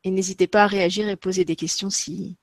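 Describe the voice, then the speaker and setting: A woman's voice: mid-range voice, flat delivery, quick pace, no echo. A woman hosting her own livestream channel, greeting viewers and steering the talk with a guest. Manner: friendly, organizing, addresses viewers. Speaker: channel host. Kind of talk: livestream moderating.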